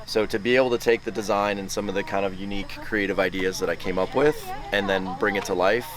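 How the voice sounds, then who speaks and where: A man, an interior designer, explaining his van build in relaxed, enthusiastic, chatty answers, his voice groggy from the morning. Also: lowish voice, fast talker, poor audio. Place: outdoors by his van, then inside it.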